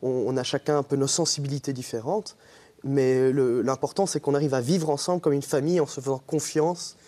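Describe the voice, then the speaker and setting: A man's voice: mid-range voice, fairly even intonation, brisk, warm tone. Young man, Belgian French accent, earnest, explanatory, TV interview guest. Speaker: student group member, guest. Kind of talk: TV interview answers.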